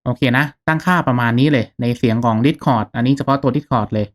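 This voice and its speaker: Man giving a casual tutorial. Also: medium pitch. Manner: informal, polite, explanatory, checking in with listeners. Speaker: YouTube tutorial host, gaming channel streamer. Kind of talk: casual step-by-step software tutorial voice-over.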